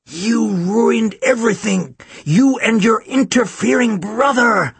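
Storybook villainess , played by a man: gloating, murderous madwoman, very husky rasp, high and swooping, theatrical menace. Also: animated, normal speed, a bit bright, full character voice. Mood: malevolent hiss. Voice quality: hissing, rasping, shrieking thinly when enraged. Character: malevolent, insane woman assassin, gloating and murderous. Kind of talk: audiobook villain dialogue.

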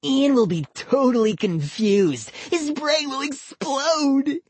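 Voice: high voice, takes it slowly, a little mellow, dry recording, pitched above the performer's own voice. A narrator voicing a smug, giggly prankster boy in an audiobook. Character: boastful prankster boy Vinny.

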